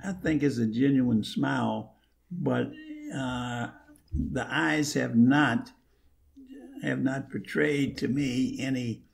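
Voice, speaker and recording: A middle-aged man chatting casually, halting and searching for words as he repeats himself. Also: medium pitch, vocal fry. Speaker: guest guessing about characters. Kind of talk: casual unscripted chat.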